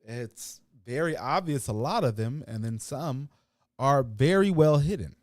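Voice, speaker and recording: A man moderating a panel discussion in a mellow, relaxed tone. He rambles and restarts his words, and his loudness rises and falls. The sound is dry and close. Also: medium pitch, a little slow, no echo. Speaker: host.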